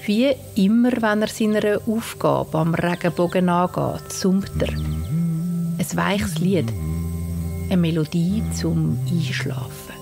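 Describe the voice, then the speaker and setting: A woman with a Swiss German accent narrating a scripted bedtime story for children in a gentle, soothing manner. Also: on the low side, lively intonation, creaky. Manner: slow, gentle, soothing delivery. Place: studio. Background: soft music and sound design.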